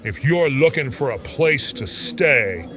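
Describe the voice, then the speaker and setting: A man's voice: medium pitch, sing-song, takes it slowly, loud music behind the voice. A man playing a brusque medieval innkeeper in an audio drama. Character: brusque medieval French innkeeper, busy but informative.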